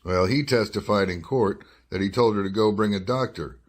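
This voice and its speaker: Man acting as investigative newsman, low-pitched, radio detective drama, hard-boiled, wry, dogged, probing. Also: normal speed, lightly acted. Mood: pressing. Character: wry, dogged male investigative newspaper reporter.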